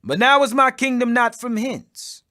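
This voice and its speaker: Guy doing a resolute bible teacher facing accusers, high bright voice, firm n declamatory. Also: a little slow, dry recording, studio quality, heavily acted. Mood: solemn, declaring.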